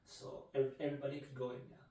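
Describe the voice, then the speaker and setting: Mellow reflective young runner, male. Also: mid-range voice, level tone. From Canada. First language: Vietnamese. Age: 20s. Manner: measured and reflective. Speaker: guest, marathon runner. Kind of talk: interview answers. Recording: echoey room, mic from a distance.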